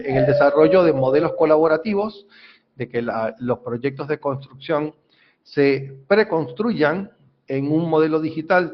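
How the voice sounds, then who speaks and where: Engineer explaining, halting and repetitive. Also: male voice, medium pitch, dull audio. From Venezuela. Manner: halting, repeats words, many fillers. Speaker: civil engineer, guest expert. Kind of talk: explanatory interview talk.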